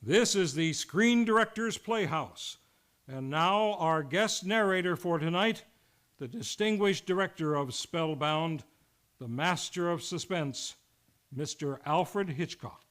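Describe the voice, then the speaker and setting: Male host, high-pitched, florid golden-age radio announcing, music underneath. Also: takes it slowly, fairly bright tone. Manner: grand, florid showman delivery. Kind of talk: theatrical old-time radio announcing. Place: radio show performed live in a theater.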